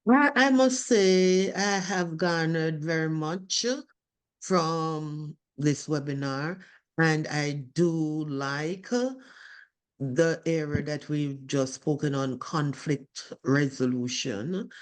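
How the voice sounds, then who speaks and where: A woman with a strong voice talks at an unhurried, deliberate pace, stopping often to find her words. She speaks as a participant in an online group discussion over a video call, thoughtful and steady. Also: low-pitched. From from abroad. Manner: slow, deliberate, with many pauses and fillers. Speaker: webinar participant.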